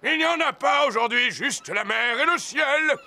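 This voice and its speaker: A man's voice: extremely high pitch, animated, normal speed, sharp tone, slight background noise, exaggerated voice. A man voicing an eager, declaiming old rabbit lighthouse keeper in a French children's cartoon. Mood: exuberant, proud.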